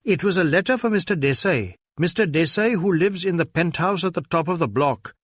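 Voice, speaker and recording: Man playing an insistent, higher-voiced Indian police inspector. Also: lively intonation, a little fast, no room sound, clean studio sound. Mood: coaxing. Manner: probing, insistent, coaxing. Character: persistent, anxious male Indian police inspector. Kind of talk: audiobook character dialogue.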